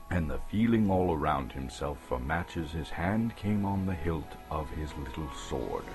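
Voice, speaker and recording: A man gives a dramatic, suspenseful storybook narration, theatrical and full of emphatic pauses. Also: rumbling low, darkish tone. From Canada. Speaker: actor reading an audiobook.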